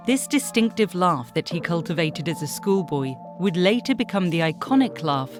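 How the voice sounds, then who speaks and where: Low-pitched woman narrator over music. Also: animated, moderate pace. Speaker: documentary host and narrator. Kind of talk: scripted narration.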